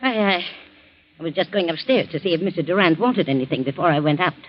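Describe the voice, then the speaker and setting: An actress playing an anxious, loyal female servant in a 1940s radio drama, husky and hesitant, on a muffled old recording. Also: fairly low pitch, quick pace, a little hiss or hum, natural voice. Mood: flustered, deferential. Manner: hesitant, deferential.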